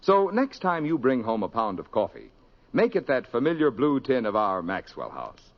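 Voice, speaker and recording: A male announcer reads a sponsor pitch in classic old-time radio style. His mid-pitched voice swells and drops emphatically, on a dull vintage recording. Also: wildly swooping pitch, moderate pace.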